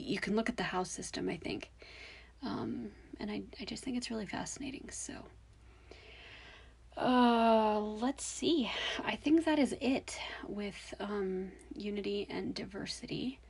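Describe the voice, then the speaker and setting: A woman's voice: mid-range voice, vocal fry, breathy edge. A woman with a young-sounding voice hosting a podcast, musing hesitantly with many fillers. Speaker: podcast host. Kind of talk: casual solo podcast commentary.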